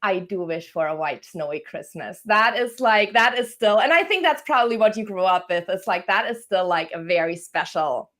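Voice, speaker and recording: A woman's voice: medium pitch, moderate pace, few pauses, a bit bright. A woman from Germany, a career coach, hosting a live-streamed interview with an upbeat, welcoming manner. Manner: upbeat, welcoming, scripted-sounding intro.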